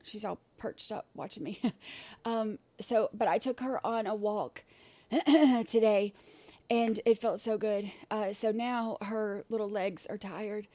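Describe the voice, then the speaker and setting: A woman hosting a casual livestream, her throat scratchy and irritated, clearing it often and rambling with many fillers and restarts. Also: mid-range voice, takes it slowly, warm tone, dry recording. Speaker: livestream host.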